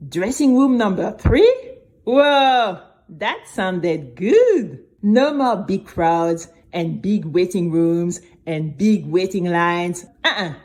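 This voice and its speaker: A woman playing a nervous, chatty young girl who tells her story in a conversational, expressive way. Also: on the low side, takes it slowly. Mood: excited. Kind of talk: first-person scripted audio drama narration.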